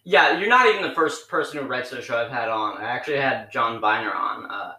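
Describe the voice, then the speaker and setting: A man's voice: mid-range voice, expressive, fairly quick, bright, forceful tone. A man hosting a podcast interview, asking questions hesitantly with fillers. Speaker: podcast host. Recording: echoey room.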